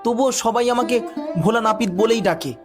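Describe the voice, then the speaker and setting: A man's voice: very high voice, a little fast. A man voicing a cunning, boastful barber's son who poses as a priest in a cartoon moral story, theatrical and self-important. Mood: indignant, determined. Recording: music bed behind the voice.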